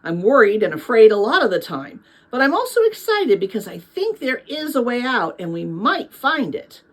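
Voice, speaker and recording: A woman's voice: fairly high pitch, very animated, normal speed. A woman reading the part of an earnest, eager young boy in a storybook. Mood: anxious.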